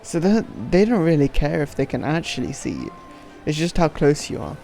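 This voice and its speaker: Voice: mid-range voice, expressive, brisk, gravelly, dark tone. A gaming streamer giving casual, sweary, self-mocking commentary, sometimes muttering. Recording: game music behind the voice.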